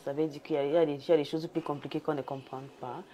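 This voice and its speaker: A woman with a deep voice speaks over faint background noise. She offers plain, sympathetic commentary as a debate panelist, in informal wording. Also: fairly even intonation, very fast, mellow.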